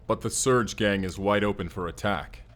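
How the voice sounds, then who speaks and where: A man's voice: fairly low pitch, moderate pace, fairly bright tone, lightly acted. A man playing a blunt, feral superhuman mercenary in an audio drama, speaking stiffly and literally in terse phrases. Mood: gruff, matter-of-fact. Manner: stiff, literal, terse speech without contractions. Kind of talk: cinematic audio roleplay acting. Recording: echoey reverberant room.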